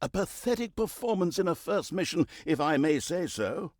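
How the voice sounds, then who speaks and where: An actor playing a theatrical, mock-pompous narrator of villainous deeds in a comic video game, with a sardonic, mocking manner. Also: male voice, a little high, animated, moderate pace, dry recording, clean studio sound. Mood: sardonic scolding.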